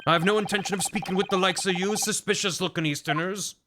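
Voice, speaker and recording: A man's voice: high-pitched, steady tone, quick pace, noisy background, close to an everyday voice. A man putting on a blustering Scottish prison governor. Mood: gruff. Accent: put-on Scottish. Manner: blustering, threatening. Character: gruff hostile middle-aged male prison governor.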